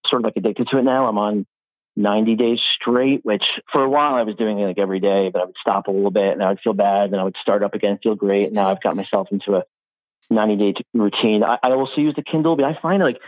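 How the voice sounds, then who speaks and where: A podcast host talks quickly and casually, with a lowish man's voice. His loose, chatty speech is full of "like". The sound is thin and phone-like, and dry. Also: no room sound. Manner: relaxed, conversational, many "like" fillers. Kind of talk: casual interview chat. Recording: tinny phone-like line.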